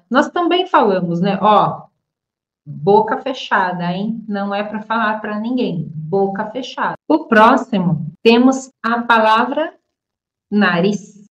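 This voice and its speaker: A woman teaching a language lesson online, explaining idioms slowly with repeated examples. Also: mid-range voice, echoey room, dull audio. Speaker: Portuguese teacher.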